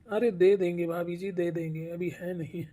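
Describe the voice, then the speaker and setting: Casting: tight-fisted village husband for a family cartoon , played by a man, mellow dark tone, placating and evasive. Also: high voice, steady tone, normal speed, natural voice. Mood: grudging. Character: stingy, evasive village husband and younger brother-in-law. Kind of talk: Hindi family cartoon dialogue.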